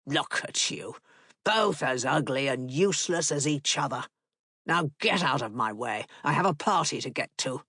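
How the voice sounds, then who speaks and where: A woman's voice: very deep voice, animated, moderate pace, fairly bright tone, slightly rough, dry recording, studio quality, voice pushed down, exaggerated voice. A woman voicing a cold, contemptuous aristocratic father who talks in a harsh, sneering way.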